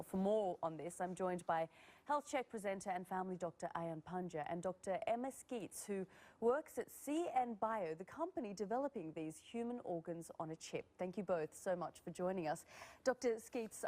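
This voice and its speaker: A woman's voice: mid-range voice, darkish tone. Woman presenting news, faint music. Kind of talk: broadcast news presenting and interviewing.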